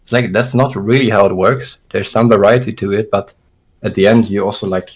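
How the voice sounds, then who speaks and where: A man's voice: on the low side, steady tone, fairly quick, slightly rough. A young German-born man raised in Sweden, a fitness coach chatting casually, hesitant and restarting his sentences, with a German and Swedish accent. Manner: hesitant, restarting thoughts, talks it through. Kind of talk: casual podcast chat.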